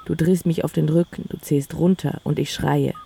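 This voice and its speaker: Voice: mid-range voice, steady tone, moderate pace, gravelly, dark tone, breathy edge. A narrator reading a literary first-person audio play in an intimate, matter-of-fact way. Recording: music behind the voice. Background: music.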